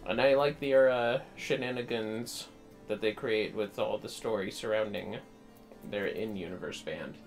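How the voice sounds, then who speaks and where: Easygoing male streamer over faint music. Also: lowish voice. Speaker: livestreamer. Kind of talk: casual game livestream commentary.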